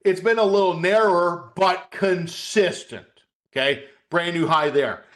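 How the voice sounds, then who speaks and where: Higher-pitched man coaching traders. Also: a little slow, a bit bright. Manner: conversational, repeats "okay?" as a check; folksy, addresses viewers directly. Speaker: trading coach hosting a webcast. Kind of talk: live market commentary and chart lesson.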